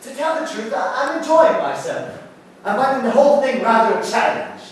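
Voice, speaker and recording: A man performs a politely formal young solicitor with a stubborn streak, in period stage style. The voice is high for a man, distant and swimming in hall echo, over faint noise. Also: lively intonation, a little fast. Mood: polite bravado. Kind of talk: period stage play acting. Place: indoor theatre stage, mic far off. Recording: very echoey theatre, distant mic, faint noise.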